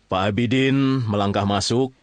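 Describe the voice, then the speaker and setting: A man's voice: on the low side, fairly even intonation, normal speed, no room sound. A man with an Indonesian accent telling a story informally, often checking in with his listeners. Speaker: storyteller narrator addressing listeners.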